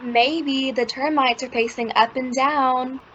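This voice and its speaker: A muffled recording carries the teenage daughter of a radio comedy family played by a woman. She has a high voice and a pert, defensive, matter-of-fact way of answering. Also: fairly even intonation, takes it slowly, heavy background noise. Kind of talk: old-time radio sitcom acting. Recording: muffled recording with vehicle sounds.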